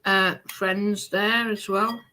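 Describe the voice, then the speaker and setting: Slow-paced speaker with a bright, forward tone, offering brief supportive replies in a hesitant, conversational way. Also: medium pitch, flat delivery, poor audio. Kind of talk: casual chat, short supportive replies.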